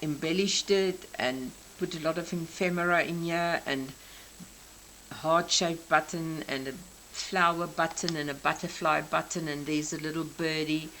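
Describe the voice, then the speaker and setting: A South African woman in her sixties, a native Afrikaans speaker, gently explaining each detail of her craft journal. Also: sits low, a little hiss or hum, low-quality recording. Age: 60s. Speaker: craft channel host. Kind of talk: crafting show-and-tell flip-through.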